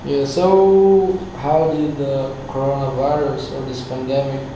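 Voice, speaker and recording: A man's voice: mid-range voice, flat delivery, unhurried, warm tone, muffled recording. A man interviewing a musician, asking short, direct questions. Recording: distant mic in echoey room, background hiss.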